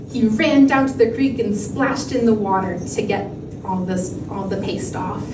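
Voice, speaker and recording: A woman reading a fairy tale aloud as a storyteller. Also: mid-range voice. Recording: echoey, very noisy room, distant mic.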